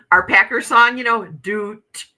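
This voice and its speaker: A woman's voice: medium pitch, slow pace, bright, forceful tone, rough recording. A woman in her fifties hosting a casual livestream, chatting and laughing as she reads out viewer comments. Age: 50s. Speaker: livestream host.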